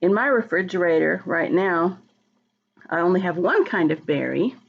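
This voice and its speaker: A woman's voice: on the low side, expressive, moderate pace, vocal fry, lo-fi sound. A woman reading a storybook aloud to young children, talking to them directly and expressively, drawing out words. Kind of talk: read-aloud to young children, chatting and asking questions.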